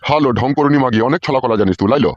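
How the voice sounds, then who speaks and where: A man's voice: medium pitch, very fast, darkish tone, dry recording, highs cut off, lightly acted. A man voicing a suspicious, hot-tempered old village husband in a comedy cartoon, ranting coarsely. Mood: accusing.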